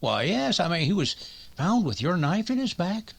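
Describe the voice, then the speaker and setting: An actor playing a fretful, hesitant town doctor in a western radio drama, halting and hedging as he speaks. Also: high voice, sing-song, vocal fry, breathy edge, exaggerated voice. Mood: worried, hesitant.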